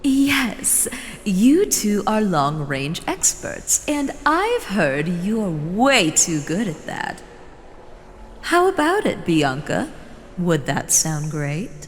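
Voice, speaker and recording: Woman acting as slow, swooping, doting matriarch in reverb. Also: fairly low pitch, big pitch swings, heavily acted. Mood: doting, firm. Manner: drawn-out, sweetly condescending, theatrical. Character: doting female elder of a hive-mind family, theatrical and possessive. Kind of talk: scripted fan audio drama acting. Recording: reverberant hall, faint noise.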